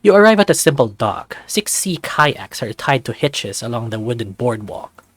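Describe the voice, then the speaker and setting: A man reading a visual novel aloud as its narrator, in an expressive storytelling style. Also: medium pitch, normal speed, no room sound, soft background music.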